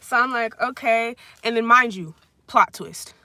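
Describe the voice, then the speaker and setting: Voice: medium pitch, a little slow, pushed and bright, dry recording. A vlogger in their twenties telling a casual storytime rant at a friend's house, rambling with constant "like" fillers and blunt, profane wording. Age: 20s. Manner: rambling, heavy use of "like" fillers.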